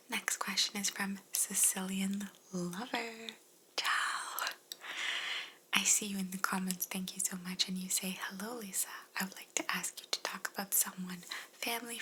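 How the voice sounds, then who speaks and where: A woman speaking in a breathy whisper, chatting casually and gently as she answers questions in an ASMR style. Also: mid-range voice, poor audio. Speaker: ASMR video creator answering viewer questions.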